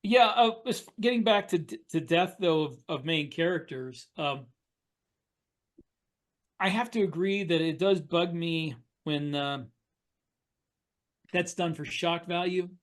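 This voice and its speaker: Higher-pitched man, earnest podcast talk. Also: unhurried, pauses often. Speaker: podcast co-host. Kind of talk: casual panel discussion.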